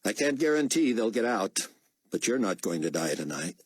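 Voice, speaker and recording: A man voicing a brisk man who gives curt commands while quietly helping a prisoner. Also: sing-song, slightly rough, dry recording. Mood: urgent. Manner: curt, commanding, low-key. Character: brisk male journalist posing as an officer, secretly kind.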